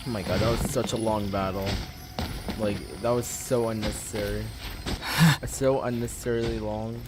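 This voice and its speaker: A man giving casual, rambling gameplay commentary, often repeating phrases, with loud game music behind. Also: fairly low pitch, flat delivery, moderate pace, background noise. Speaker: game streamer.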